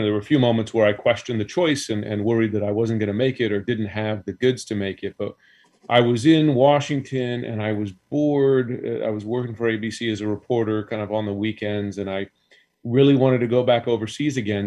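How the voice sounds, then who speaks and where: A man's voice: lowish voice, brisk, a little mellow. Rambling man on a video call. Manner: rambling, self-interrupting. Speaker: guest. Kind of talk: casual chat. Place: home room.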